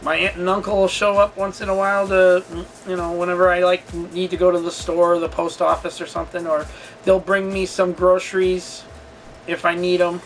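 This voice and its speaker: A man with a notably high voice talks through a personal story in a casual vlog style. His delivery is halting and rambling, with a candid, confessional feel. Music plays faintly behind him. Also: flat delivery, low-quality recording. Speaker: storytelling vlogger and channel host.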